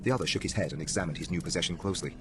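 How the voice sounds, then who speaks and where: A man's voice: low-pitched, rapid-fire, noisy background. A man narrating a horror story in a dramatic, scripted style.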